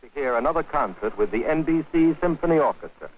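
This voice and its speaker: A man's voice: a little high, animated, fast talker, dull audio, very noisy. A man making a formal programme announcement in 1930s radio style, on an archival broadcast.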